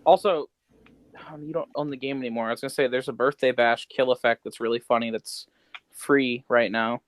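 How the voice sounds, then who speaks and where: A man's voice: medium pitch, fairly even intonation, a little fast, dry recording. A man co-hosting a podcast, bantering casually with a playful, sweary, irreverent manner. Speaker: podcast co-host.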